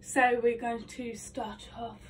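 A woman's voice: fairly high pitch, level tone, takes it slowly. Congested young woman chatting to her phone. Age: young adult. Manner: chatty, self-talking, lots of ums. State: congested, nasal from hay fever. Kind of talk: casual vocal warm-up vlog. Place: small attic bedroom at home. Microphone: phone camera across the room. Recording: faint room noise, distant from phone.

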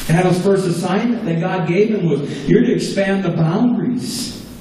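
A man's voice: sits high, normal speed, mellow. A man preaching a sermon as pastor in a small church, earnest and repeating key phrases for emphasis, recorded from a camera at the back. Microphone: far camera at back of room. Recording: echoey room, distant camera, faint hum.

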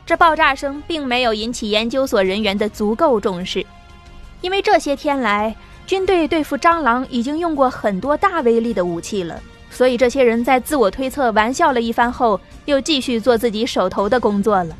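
High-pitched woman narrating a story. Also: expressive, moderate pace. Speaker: audiobook narrator. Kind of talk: scripted dramatic story narration. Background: background music.

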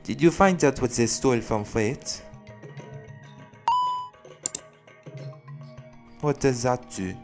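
A man playing a proud, bantering werelion vigilante in an audio roleplay, theatrical and formal in his wording. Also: on the low side. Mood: curious, serious.

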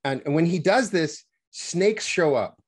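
A middle-aged man hosting an interview over a video call from his home office, wearing a headset and talking in a halting way with many restarts. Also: fairly high pitch, expressive, slightly rough. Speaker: podcast host. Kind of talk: conversational interview.

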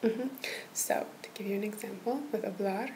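A woman in a whispered tutoring roleplay, patiently walking a listener through a lesson in a soft, encouraging whisper. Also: medium pitch, normal speed, noisy background. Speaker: language tutor.

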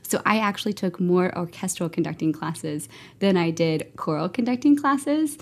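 A woman in her forties hosting a music education podcast, enthusiastic and quick to cheer her guest on over a video call. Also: fairly low pitch, animated. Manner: enthusiastic, effusive, laughs easily. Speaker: podcast host. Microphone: earbuds on a video call.

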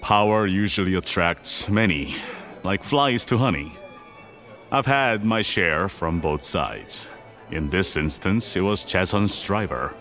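A man playing a powerful, composed older businessman and father in an audio drama, speaking in a measured, formal way. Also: sits low, animated, a bit bright, faint music behind, exaggerated voice. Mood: grave. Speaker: actor in a podcast drama.

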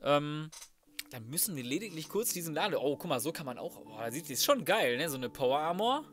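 Higher-voiced man doing casual game commentary. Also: sing-song, brisk, a bit bright. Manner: colloquial, sweary, reacting aloud to gameplay. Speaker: gaming YouTuber. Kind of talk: casual let's play commentary. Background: video game sound and music.

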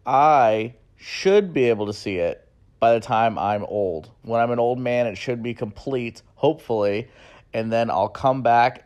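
A man's voice: mid-range voice, a little mellow. A young man talking casually and enthusiastically while he records a road-trip vlog. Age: young adult. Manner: enthusiastic, easygoing. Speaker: travel vlogger. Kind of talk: casual travel vlog with voice-over. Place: outdoors, windy open land. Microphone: phone camera at arm's length. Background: wind.

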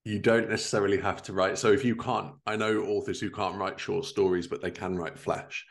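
Male host, thirties, deep voice, casual conversational interviewing from home. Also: level tone, fairly quick, vocal fry. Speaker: podcast host. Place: indoors at home, living room. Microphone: video call.

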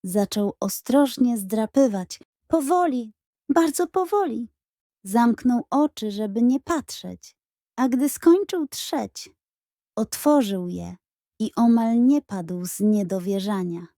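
A woman reading a scripted bedtime story for children in an expressive, careful storytelling manner. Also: on the high side, takes it slowly, no echo, clean studio sound. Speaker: audiobook narrator.

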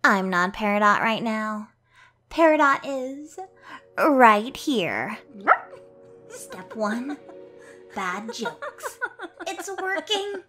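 A woman's voice: fairly high pitch, big pitch swings, extremely slow, pauses often, pushed and bright, soft background music, heavily acted. A woman voicing a small, prickly but caring female alien technician in a fan comic dub, speaking in a matter-of-fact, awkwardly upbeat way. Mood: earnest.

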